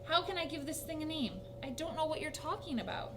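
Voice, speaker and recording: Woman as sly old storybook man, pompous, booming, proud, raised pitch, noisy recording. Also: a little fast, recorded from a distance. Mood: flustered. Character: proud, sly, blustering old man, red-faced namer. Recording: noisy recording with steady hum.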